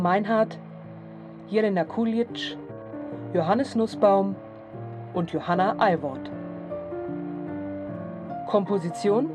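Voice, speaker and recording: A woman's voice: mid-range voice, lightly acted. A woman as a sober announcer in a radio play, reading scripted text in a formal, factual way. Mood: measured, formal. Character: sober female news reader reporting a court case. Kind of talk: scripted news-style narration and credits. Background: music.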